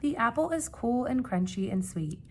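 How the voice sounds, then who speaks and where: A woman reading a children's picture book aloud like a teacher, with expressive emphasis and questions put to young listeners. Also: on the high side, slight background noise. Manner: expressive, dramatic gasps and emphasis, pausing to ask questions.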